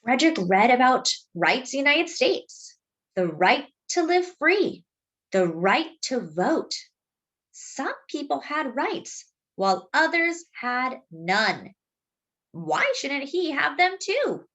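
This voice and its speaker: A woman reads a picture book aloud expressively, explaining things simply and deliberately as if to young children. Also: sits high, sing-song, fairly bright tone, highs cut off. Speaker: children's storybook reader.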